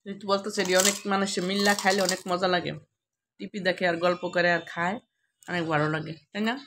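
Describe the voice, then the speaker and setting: Home vlogger, female, Bangladeshi accent. Lowish creaky voice, chatty and direct to viewers, slightly noisy home recording. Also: steady tone, quick pace. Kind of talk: casual home cooking vlog chat. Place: at home, partly in the kitchen while frying.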